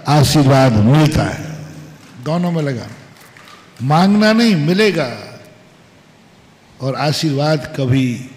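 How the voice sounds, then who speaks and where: Slow, echoing preaching monk. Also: male voice, on the high side. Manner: slow, emphatic preaching with rhetorical questions and repeated maxims. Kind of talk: sermon. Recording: long hall reverb.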